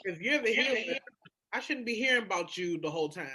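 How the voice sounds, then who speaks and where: Bright-voiced woman in podcast chat. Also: medium pitch, expressive, fairly quick, husky. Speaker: podcast co-host. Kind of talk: candid casual podcast conversation.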